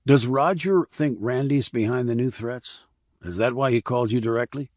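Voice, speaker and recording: A man's voice: medium pitch, normal speed, darkish tone, dry recording, studio quality, lightly acted. A man voicing a terse, probing military investigator with clipped, direct questioning in an audiobook. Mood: probing, matter-of-fact. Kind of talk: audiobook character dialogue.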